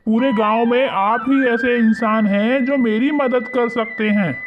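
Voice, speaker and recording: A man playing an earnest, respectful young poor farmer in an animated moral story. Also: extremely high pitch, measured pace. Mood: pleading, respectful. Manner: respectful, pleading. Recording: muffled lo-fi audio under loud music.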